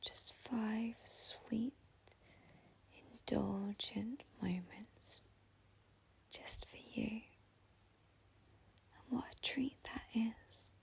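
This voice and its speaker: Slow whispering woman with a husky voice. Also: a little high. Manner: soft whispered delivery, slow and soothing. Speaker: meditation guide. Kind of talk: guided meditation.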